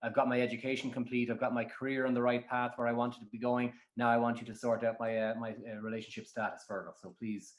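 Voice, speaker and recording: A man's voice: mid-range voice, level tone, fast, hardly any pauses, echoey room. An Irish man talking bluntly and frankly as a guest on a casual podcast, rambling with many restarts. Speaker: matchmaker guest.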